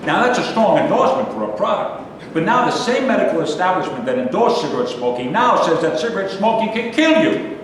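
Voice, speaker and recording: A man's voice: big pitch swings, fairly quick. A man acting in a staged play reading as a neurotic, aggrieved complainer, indignant and emphatic. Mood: incredulous ranting. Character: neurotic, aggrieved man who rants and grumbles. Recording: echoey hall from far off, rumbly noise.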